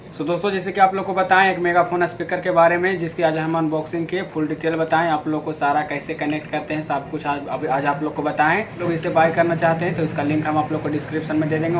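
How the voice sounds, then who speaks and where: An Indian man hosting an informal product unboxing, explaining things step by step in a repetitive way. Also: a little high. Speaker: product reviewer and video host. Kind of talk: unboxing demonstration narration. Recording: clearly noisy amateur recording.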